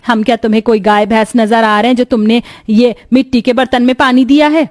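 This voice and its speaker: A woman's voice: a little high, fairly even intonation, fairly quick, no room sound, studio quality, lightly acted. A woman playing an elderly, grumbling village mother-in-law in a cartoon moral story.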